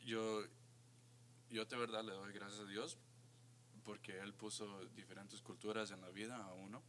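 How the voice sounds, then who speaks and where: Brief: man, low monotone voice, slips English words in, faint hum behind. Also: moderate pace. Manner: mixes English words into his speech. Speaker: podcast co-host. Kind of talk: casual faith discussion.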